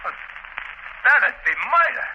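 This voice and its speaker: A man's voice: very high voice, very animated, takes it slowly, full character voice. An actor playing a panicky, desperate criminal henchman in a 1940s radio drama, blurting his lines in tough-guy slang. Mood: agitated. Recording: noisy, dull old radio recording.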